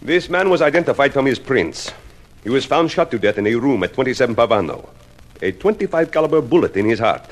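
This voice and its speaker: An actor playing a weary, formal police inspector with a Spanish accent and florid phrasing in a 1950s radio drama. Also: male voice, medium pitch, big pitch swings, a little fast. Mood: probing. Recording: noisy 1950s radio recording.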